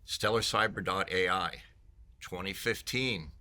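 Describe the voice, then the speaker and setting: A man's voice: sits low, measured pace, sharp tone. A man in marketing, talking up his company in a playful, salesy, joking way during a conference interview. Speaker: vendor marketing executive.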